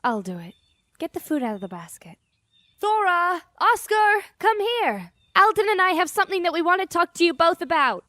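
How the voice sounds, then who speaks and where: A woman acting in a fantasy audio drama as a calm, firm mother and magical guardian, speaking seriously and deliberately. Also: very high voice, dry recording, studio quality, full character voice. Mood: brisk, calling.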